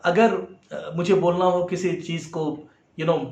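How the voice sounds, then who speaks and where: A man with an Indian accent giving an explanatory lesson in a measured way as a music teacher. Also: sits high, steady tone, darkish tone. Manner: measured, demonstrates points with acted example phrases. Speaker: music teacher and video host. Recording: roomy, echoing sound.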